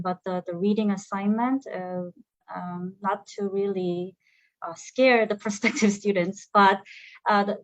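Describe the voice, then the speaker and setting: Korean woman, non-native English, halting with lots of restarts, dry close sound, dull audio. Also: mid-range voice, dry recording. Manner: hesitant, many fillers and restarts. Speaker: graduate alumna. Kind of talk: conversational panel interview.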